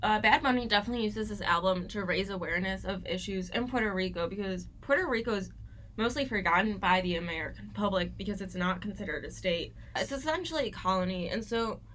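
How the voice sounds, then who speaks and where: Bright-voiced young woman explaining eagerly. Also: medium pitch, slight background noise. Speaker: podcast co-host. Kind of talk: casual scripted discussion.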